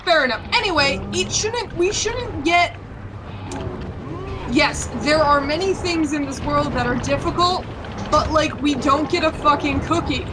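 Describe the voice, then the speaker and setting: A woman's voice: high-pitched, expressive, unhurried, noisy background. A woman in her late twenties chatting casually while playing a game, opinionated and ranting with plenty of swearing. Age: 20s. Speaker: gaming channel host. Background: game music.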